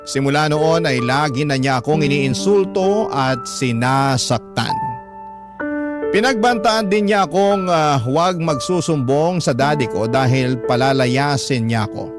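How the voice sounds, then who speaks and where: A man narrating a letter as an emotive, measured dramatic radio story. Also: fairly high pitch, lively intonation. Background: loud music.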